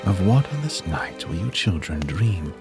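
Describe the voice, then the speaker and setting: A man storyteller reading a rhyming Christmas poem in a solemn, measured way. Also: sits low, very animated. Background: music.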